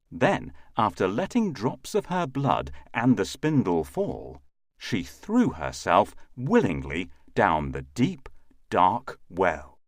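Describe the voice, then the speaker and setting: A man reads a children's fairy tale as a storyteller. His voice is fairly low, with huge swings in intonation and a slow pace, recorded dry and close. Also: dry recording.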